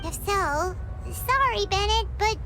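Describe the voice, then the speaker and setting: A woman's voice: extremely high pitch, expressive, takes it slowly, heavily acted. A woman voicing a tiny, chatty, bossy fairy companion in an anime video game. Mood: chatty, curious. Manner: speaks of self in third person, exclamatory. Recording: game music behind the voice.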